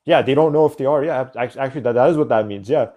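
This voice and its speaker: A man's voice: medium pitch, fast, dull audio. A man hosting a livestream, arguing bluntly and matter-of-factly with callers. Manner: blunt, dismissive, matter-of-fact. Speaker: livestream host. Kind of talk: casual debate with callers.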